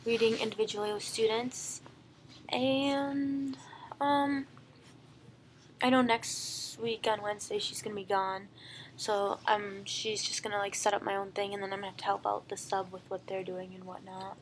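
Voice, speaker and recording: A woman's voice: mid-range voice, level tone, moderate pace. A young woman with a voice of middling height, chatting away about her work as a student teacher. She fills her talk with "like" and "um" and laughs easily, with a faint hiss of noise behind her. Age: young adult. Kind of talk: casual chat.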